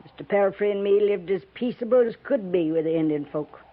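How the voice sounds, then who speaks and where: Actress as tough old American frontier widow, tinny radio. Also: female voice, medium pitch, a little fast, close to an everyday voice. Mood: gruff, matter-of-fact. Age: older. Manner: curt, scolding, folksy. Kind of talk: 1950s radio western acting. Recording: tinny, narrow-band 1950s radio recording.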